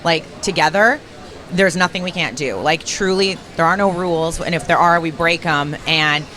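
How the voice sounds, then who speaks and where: A Canadian woman radio host with a low voice and a light Canadian accent. She talks in a chatty, upbeat way, answering interview questions casually. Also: moderate pace, sharp tone. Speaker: radio host and program director.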